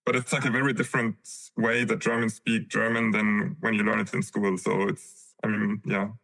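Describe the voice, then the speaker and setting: A man's voice: fairly low pitch, flat delivery, moderate pace, vocal fry, a bit bright, rough recording. A man from Lebanon, a podcast guest, answering questions in a loose, hesitant way with many restarts and fillers. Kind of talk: casual interview answers.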